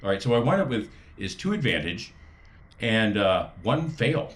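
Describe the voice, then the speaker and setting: Low-voiced man playing a tabletop game. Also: very animated, normal speed. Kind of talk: casual in-game chat.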